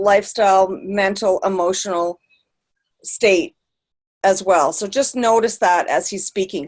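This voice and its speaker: A woman hosting a webinar, introducing her guest in a friendly, loose way with fillers. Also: medium pitch. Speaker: webinar host.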